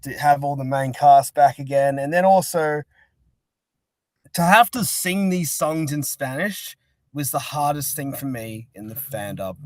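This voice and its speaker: A man's voice: a little high, lively intonation, measured pace, a little mellow, no room sound. A man chatting casually as an interview guest, talking in a rambling, chatty way. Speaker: interview guest, fan-dub creator. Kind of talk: casual chat.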